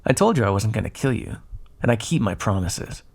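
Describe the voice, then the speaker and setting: An actor playing a flirtatious, smugly predatory yet caretaking male vampire in an intimate ASMR roleplay, with a breathy voice and teasing asides. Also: fairly low pitch, big pitch swings, brisk, full character voice. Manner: teasing, drawling asides with soft sighs and chuckles. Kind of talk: ASMR roleplay, intimate second-person acting.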